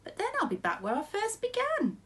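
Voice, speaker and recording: A woman voicing a flustered, grumbling little old lady from a storybook, pleading and exclaiming with drawn-out words. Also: extremely high pitch, huge pitch swings, a bit bright, pitched above the performer's own voice, exaggerated voice. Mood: incredulous.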